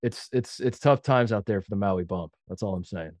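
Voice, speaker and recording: A man's voice: lowish voice, moderate pace, darkish tone, no room sound, studio quality. Nasal man with a head cold. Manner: rambling, self-deprecating, restarts often. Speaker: podcast co-host. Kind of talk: casual sports talk.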